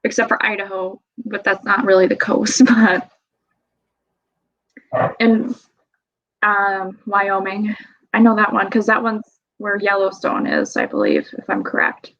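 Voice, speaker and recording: A woman's voice: mid-range voice, sing-song, a little slow, long pauses, a bit bright. A young woman from Wisconsin with a Wisconsin accent, chatting as a podcast guest, giggly and full of fillers. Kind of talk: casual interview chat. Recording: dull lo-fi sound.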